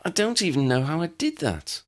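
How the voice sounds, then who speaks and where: A man's voice: on the high side, wildly swooping pitch, moderate pace, pitched above the performer's own voice. A man voicing an anxious, fretful young girl new to magic in a storybook. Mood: fretting. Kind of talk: storybook character acting.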